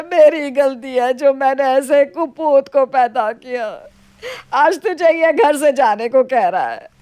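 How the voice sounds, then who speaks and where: Woman playing middle-aged mother-in-law, storybook melodrama, very high voice, slow, wailing, fretful. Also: a little mellow, no room sound. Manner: wailing, drawn-out, theatrical.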